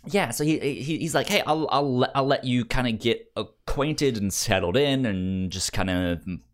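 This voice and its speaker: A man co-hosting a casual book discussion, halting and often stammering over repeated syllables and words. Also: medium pitch. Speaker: podcast co-host discussing comics.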